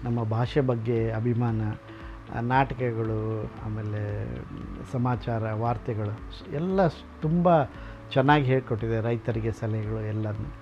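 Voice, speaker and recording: A man's voice: medium pitch, unhurried. Middle aged guy w explaining stuff like a tour guide, some english mixed in, muffled recording, music in the background. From Karnataka, India. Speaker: radio collector and museum founder. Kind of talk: informal guided tour talk. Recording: dull lo-fi sound, music behind.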